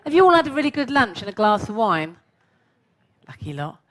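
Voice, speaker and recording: A woman's voice: on the high side, big pitch swings, fairly quick, fairly bright tone. A woman in her sixties with a London accent gives a chatty, self-mocking talk to a live audience and asks them questions. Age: 60s. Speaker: guest speaker.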